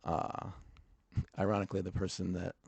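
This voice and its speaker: A man's voice: deep voice, little pitch movement, normal speed, creaky, a little mellow, slightly rough, no echo. A man hosting a music podcast, talking casually with a noticeable stutter. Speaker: podcast host.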